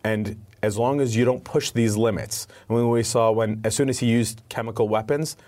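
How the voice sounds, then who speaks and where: A man's voice: mid-range voice, steady tone, moderate pace, a little mellow. A man speaking as a guest spokesperson in an interview, answering earnestly and repeating words as he starts each thought. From United States. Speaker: advocacy spokesperson.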